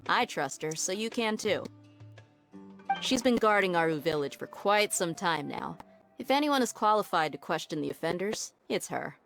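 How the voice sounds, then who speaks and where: A woman's voice: on the low side, expressive, normal speed, creaky, a bit bright, soft background music. A woman playing a tough, laid-back young desert mercenary in a video game, speaking in a casual, frank, self-assured way. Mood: relaxed, trusting.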